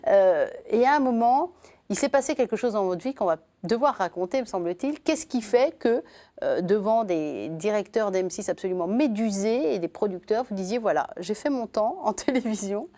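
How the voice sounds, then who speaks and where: A woman's voice: medium pitch, animated, normal speed, warm tone. A woman hosting a TV interview, recapping her guest's career in long, guiding questions. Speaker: interview host. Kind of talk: TV talk show interview.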